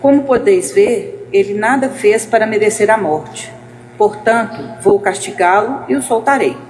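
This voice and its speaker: A woman's voice: mid-range voice, measured pace, darkish tone, slight background noise, close to an everyday voice, low-quality recording. A woman reading the part of a formal, judging governor in a dramatized scripture reading, with declarative delivery. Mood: formal, reasoning.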